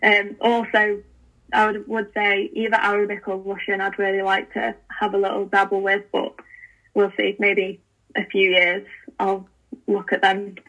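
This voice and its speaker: A chatty young woman guest on a podcast, talking eagerly with frequent fillers and restarts. Her voice is bright and forward, with a flat, even pitch. It comes through thin, like a phone call. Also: moderate pace. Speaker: podcast guest, language learning founder. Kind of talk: casual interview chat.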